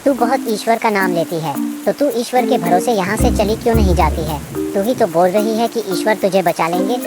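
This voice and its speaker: A woman's voice: mid-range voice, fairly quick, close to an everyday voice. A woman voicing a domineering, scolding, quarrelsome crow in a children's cartoon story. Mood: threatening. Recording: loud music behind.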